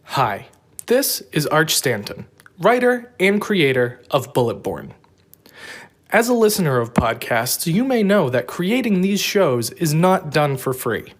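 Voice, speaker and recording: A man's voice: on the high side, big pitch swings. A man, the show's writer and creator, making an earnest appeal for listener support. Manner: earnest, unhurried. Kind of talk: sponsor appeal read.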